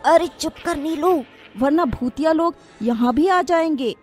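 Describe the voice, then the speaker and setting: A woman's voice: sits high, measured pace, a little mellow, soft background music, voice pushed up. A woman voicing a cheeky, childlike young schoolboy in a cartoon. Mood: frightened. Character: cheeky young schoolboy among his friends. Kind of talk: cartoon voice acting.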